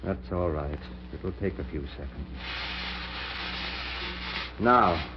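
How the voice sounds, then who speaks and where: An actor playing an obsessed middle-aged surgeon in a 1940s radio horror drama, giving intense, clipped, commanding orders. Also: male voice, deep voice, slow. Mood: urgent, commanding. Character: obsessed middle-aged male surgeon reviving his dead wife. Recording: muffled, noisy, humming 1940s radio recording.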